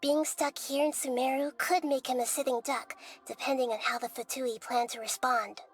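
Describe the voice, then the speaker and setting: A woman voicing a small, wise, childlike goddess in an anime game, gentle, composed and measured in her explanations. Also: sits high, level tone, husky. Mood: serious, explanatory. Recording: soft music behind the voice.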